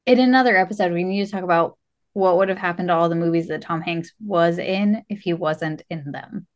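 A woman's voice: fairly low pitch, a little fast, muffled recording. A woman co-hosting a podcast in casual chat, with teasing, self-aware banter. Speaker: podcast co-host.

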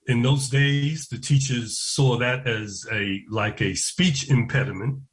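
A man, a guest recalling his past in an interview, slow and reflective and often repeating words as he restarts. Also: mid-range voice, steady tone. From New York. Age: 70s. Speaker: guest, education activist. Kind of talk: interview, personal recollection.

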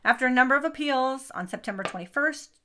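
A woman's voice: high voice, lively intonation, fast, pushed and bright, a little hiss or hum. A woman co-hosting a casual true crime podcast, retelling the case to a friend, acting out quoted speech and swearing freely. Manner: storytelling, acts out quotes, swears. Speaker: podcast co-host.

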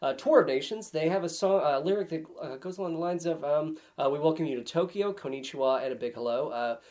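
A man's voice: a little high, quick pace, darkish tone. A man chatting casually as a podcast guest in a playful, rambling, comic way.

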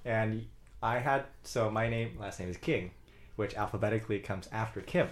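A man's voice: on the low side, normal speed. A man telling a wry story to friends in casual conversation. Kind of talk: casual chat among friends.

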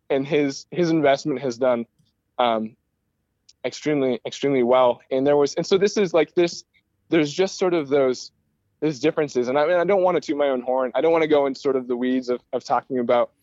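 A man's voice: medium pitch, a little mellow, dry recording. American man explaining, dry dull recording. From United States, Michigan. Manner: rambling, enthusiastic explainer. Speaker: guest, aerospace start-up founder. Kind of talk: conversational interview.

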